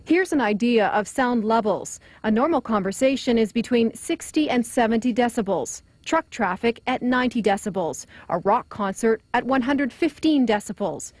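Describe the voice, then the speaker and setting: A woman's voice: on the high side, lively intonation, normal speed, few pauses, dry recording. A woman giving a broadcast news report as a TV reporter. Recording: clear background street and vehicle noise.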